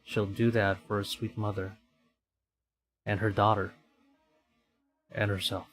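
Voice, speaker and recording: Slow-talking male game streamer. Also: lowish voice, level tone, a bit bright. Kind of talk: live game commentary and dramatic reading of visual novel text.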